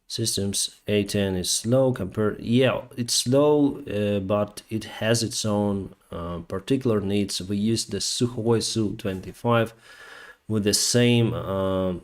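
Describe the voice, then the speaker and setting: A man from Ukraine with a Ukrainian accent, hosting a casual live chat and answering viewers in a hesitant, halting way. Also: lowish voice. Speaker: live stream host, airline pilot.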